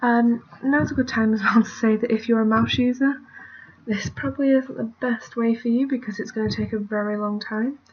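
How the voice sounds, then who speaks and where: A woman's voice: on the high side, steady tone, normal speed, dull audio, slight background noise. A British woman giving a casual, rambling drawing tutorial while she works, often trailing off into mutters and whispers, recorded on a computer mic at her family home. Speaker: art tutorial creator.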